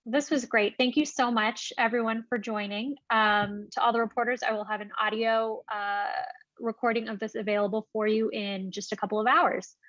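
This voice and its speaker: High-voiced woman moderating, dull audio. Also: fairly even intonation, normal speed, fairly bright tone, no echo. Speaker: press conference moderator. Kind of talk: polite, businesslike moderating.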